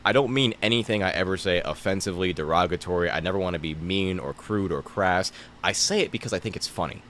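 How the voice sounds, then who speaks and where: Young jersey guy, real low voice, chill comedian talk, cusses a lot. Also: fast talker, fairly bright tone, a little hiss or hum. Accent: New Jersey. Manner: laid-back, joking, lots of swearing. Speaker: stand-up comedian guest. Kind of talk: casual podcast chat.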